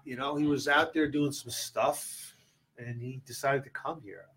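A man's voice: medium pitch, unhurried, fairly bright tone, husky. A sixty-year-old man hosting a casual, chatty interview. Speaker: interview host at a gym. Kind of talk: casual chat.

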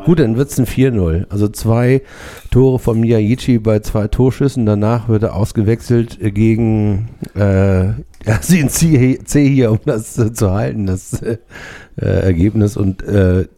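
Man, stutter, podcast host, warm tone, joking banter. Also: lowish voice, a little slow, hardly any pauses. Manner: playful, joking. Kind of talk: casual chat. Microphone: shared microphones.

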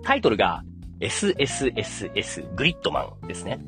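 A man's voice: lowish voice, wildly swooping pitch, fairly quick, over music. A man in his thirties casually chatting and explaining as a podcast host.

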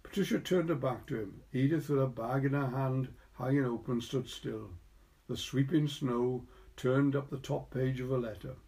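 A man's voice: medium pitch, moderate pace, gravelly, darkish tone. A man from Wales with a Welsh accent, narrating a literary short story. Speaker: story reader.

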